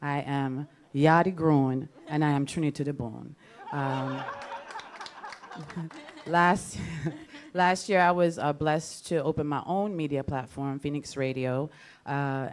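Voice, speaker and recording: A woman's voice: deep voice, darkish tone. A woman speaking informally as a radio host on a panel, with an upbeat, playful way of talking. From Jamaica. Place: live panel event with an audience.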